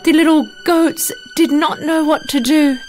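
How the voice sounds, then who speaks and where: A woman narrating a children's fairy tale in an expressive, dramatic storytelling manner. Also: sits high, unhurried, loud music behind the voice.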